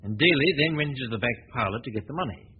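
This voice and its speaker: An actor playing a suave, confident amateur detective in a 1940s radio drama, talking in a breezy, teasing, self-assured way. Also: male voice, mid-range voice, lively intonation, rapid-fire, breathy edge. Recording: dull old broadcast recording with faint hiss.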